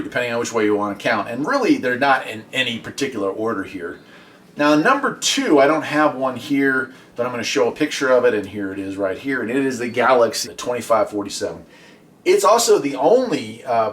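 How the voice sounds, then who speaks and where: A man's voice: medium pitch, a bit bright. Man explaining gear, off-mic in a garage. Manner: conversational, explanatory. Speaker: host of a radio hobby video series. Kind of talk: casual product review talk. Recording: voice at a distance from the mic.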